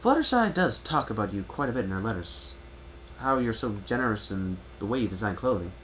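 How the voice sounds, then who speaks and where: A man's voice: fairly low pitch, sing-song, fairly quick, a bit bright. Casting: man reading as young male pony traveler, reserved, courteous, casual, over faint home-recording noise. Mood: friendly, earnest. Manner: polite, hesitant, casual. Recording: noisy amateur home recording.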